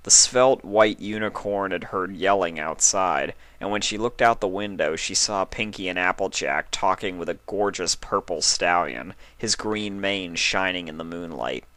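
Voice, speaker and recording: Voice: low-pitched, normal speed, hardly any pauses, a bit bright, no echo. A narrator reading a scripted story aloud as a fan fiction reader.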